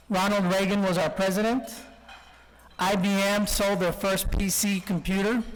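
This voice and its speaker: A man in his forties from Colombia who grew up partly in Texas, giving an informal guest speech full of anecdotes and self-deprecating jokes. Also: high-pitched. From Colombia, then Texas from childhood. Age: 40s. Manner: joking, anecdotal storytelling. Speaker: guest speaker. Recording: reverberant hall, speech over PA.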